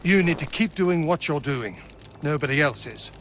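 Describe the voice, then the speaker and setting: Higher-pitched, blunt frontier man. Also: animated, moderate pace, a bit bright, slight background noise. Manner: blunt, casual, folksy. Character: plainspoken, gruffly principled, hard-drinking frontier man. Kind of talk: video game voice acting.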